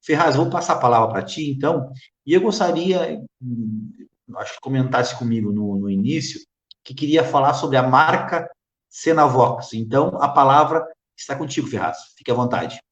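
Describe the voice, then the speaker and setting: A man's voice: mid-range voice, animated, moderate pace, dull audio. A man hosting a casual live interview, teasing his guests in a friendly way. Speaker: interview host. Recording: echoey room.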